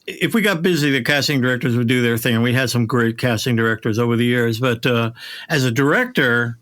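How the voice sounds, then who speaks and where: Middle-aged man, halting conversational host. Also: mid-range voice, a bit bright. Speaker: interview host, voiceover director. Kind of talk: casual interview chat.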